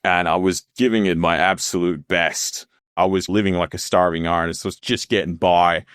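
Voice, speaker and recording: A man in his thirties, a podcast guest telling his story in a relaxed, rambling way and laughing often. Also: deep voice, normal speed, smooth, no room sound, clean studio sound. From Australia. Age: 30s. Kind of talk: casual storytelling interview.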